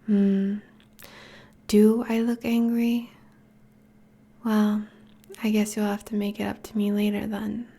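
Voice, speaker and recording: A woman playing a teasing, affectionate vampire girlfriend in an intimate ASMR roleplay, speaking softly in a breathy, whispery voice. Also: mid-range voice, monotone, slow pace, pauses often. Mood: affectionate, playful. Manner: soft, teasing, languid.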